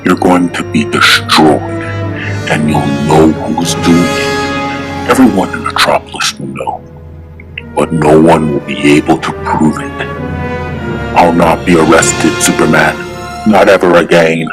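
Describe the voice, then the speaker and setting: A man voicing an arrogant, menacing billionaire villain in a pompous, deliberate, threatening way for a comic-book drama. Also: rumbling low, flat delivery, over music. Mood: domineering, threatening.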